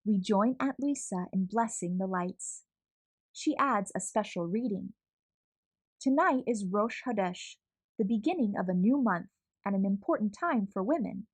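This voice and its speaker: A woman reading a children's picture book aloud with an expressive storytelling manner. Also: medium pitch, unhurried.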